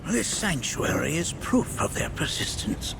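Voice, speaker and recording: An actor playing a wise, eccentric elderly mentor in a video game, stern yet kindly, with a thin aged voice and formal, measured pronouncements. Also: male voice, a little high, sing-song, vocal fry, noisy background, exaggerated voice. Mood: solemn. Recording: loud music under the voice.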